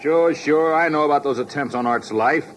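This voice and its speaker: An actor as an impatient cop, noisy recording. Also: male voice, fairly high pitch, normal speed, close to an everyday voice. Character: gruff, impatient big-city police detective lieutenant. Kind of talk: 1950s radio drama acting. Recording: noisy old radio recording.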